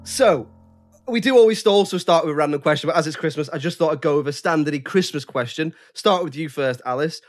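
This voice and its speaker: A man's voice: fairly high pitch, brisk, soft background music. A man co-hosting a podcast, jokey and rambling, with frequent restarts. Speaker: podcast co-host. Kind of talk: casual podcast chat.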